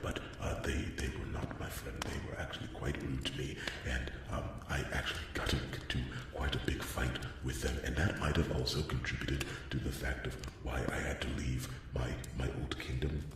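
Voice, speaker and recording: Man voicing a very deep, gravelly rambling dwarf blacksmith. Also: flat delivery, moderate pace, few pauses. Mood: hopeful, awkward. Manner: rambling, hesitant, stammering, earnest. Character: lonely, rambling, awkward male dwarf blacksmith, eager to befriend. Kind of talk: ASMR fantasy roleplay monologue. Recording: echoey, distant, noisy with clicks.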